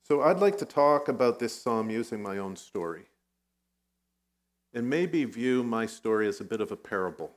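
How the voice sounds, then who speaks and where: A middle-aged man who grew up in Vietnam, a guest pastor giving a conversational sermon with personal stories, now and then clearing his throat. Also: mid-range voice, expressive, moderate pace. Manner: conversational, self-deprecating storytelling.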